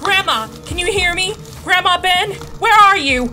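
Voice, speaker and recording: A woman's voice: very high-pitched, animated, moderate pace. A woman voicing an impulsive, emotional young granddaughter in a comic dub, with urgent delivery. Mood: frantic. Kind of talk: fan comic dub voice acting. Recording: music behind the voice.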